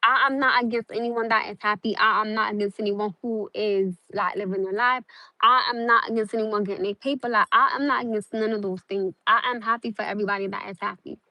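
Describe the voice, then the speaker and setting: A woman's voice: mid-range voice, steady tone, a little fast, bright, forceful tone, dry recording. A young woman from South Norwalk chatting casually to viewers on a phone livestream, rambling earnestly with lots of "like" fillers. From South Norwalk, Connecticut. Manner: rambling, repeats herself, many "like" fillers, earnest. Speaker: livestream host.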